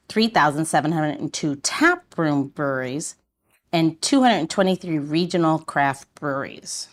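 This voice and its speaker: A woman hosting a podcast, introducing the topic and guest in a chatty, conversational style. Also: lowish voice. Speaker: podcast host.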